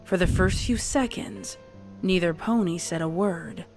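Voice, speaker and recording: Low-voiced woman narrator. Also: unhurried, darkish tone, faint music behind. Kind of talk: scripted story narration.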